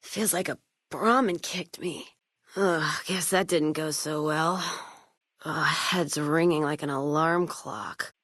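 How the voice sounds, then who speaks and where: A tough, hard-drinking American caravan woman from a frontier video game. Her voice sits low, recorded close and dry. She talks in folksy, sardonic slang and swears freely. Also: slow, fairly bright tone, no echo, exaggerated voice. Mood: groggy. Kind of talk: video game character voice lines.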